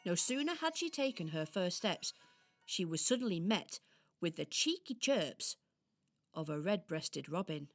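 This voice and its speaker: A woman's voice: lowish voice, very animated, a little slow, soft background music. A woman reading scripted narration for a children's audio story.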